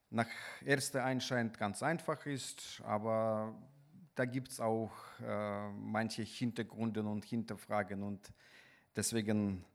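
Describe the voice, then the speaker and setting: A male preacher with a Ukrainian accent speaks at a very slow pace in a fairly low voice. He delivers his sermon deliberately, often pausing partway through a sentence. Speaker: guest preacher. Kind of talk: sermon with scripture reading.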